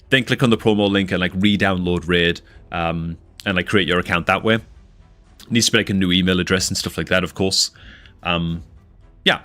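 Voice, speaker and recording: A man's voice: lowish voice, a bit bright. A young man from northeast England, near Newcastle, with a somewhat mixed northeast English accent, chatting loosely with his stream chat and using lots of fillers. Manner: rambling, filler-heavy, talks to chat. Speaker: gaming streamer. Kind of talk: casual livestream chat.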